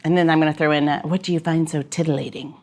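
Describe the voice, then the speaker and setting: A woman's voice: sits low, fairly even intonation, fast, darkish tone. A woman hosting a podcast, chatty and joking, who laughs often. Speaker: podcast host. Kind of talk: casual podcast chat.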